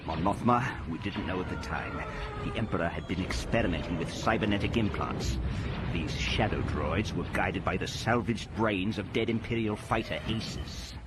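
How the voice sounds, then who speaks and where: An actor playing a calm, shrewd planetary governor who recounts a battle with measured weight in a space-opera audio drama. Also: male voice, deep voice, heavy background noise, close to an everyday voice. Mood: grave, confiding.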